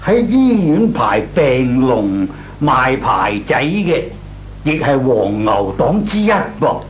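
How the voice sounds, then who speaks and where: An actor playing a kindly, deliberate older gentleman with a Cantonese accent in old Hong Kong radio drama style. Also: medium pitch, sing-song. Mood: measured, advising. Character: kindly, measured old gentleman, advice-giving elder. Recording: old radio recording, faint noise.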